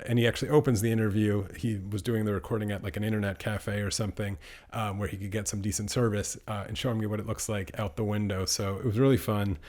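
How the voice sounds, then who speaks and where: A man's voice: on the low side, darkish tone. A man hosting a podcast, talking casually and chattily, sometimes speeding through asides. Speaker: podcast host. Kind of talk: podcast monologue and interview.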